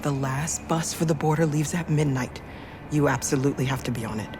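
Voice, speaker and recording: A woman's voice: sits low, a little fast, music in the background, close to an everyday voice. An actress playing a protective, reassuring woman helping fugitives in a video game. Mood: earnest.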